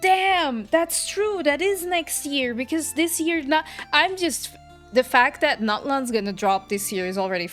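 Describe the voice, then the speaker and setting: Chatty woman streamer, unhurried, music behind her. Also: a little high, fairly bright tone. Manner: chatty, informal, reacting to chat comments. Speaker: game streamer. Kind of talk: casual livestream chat with viewers.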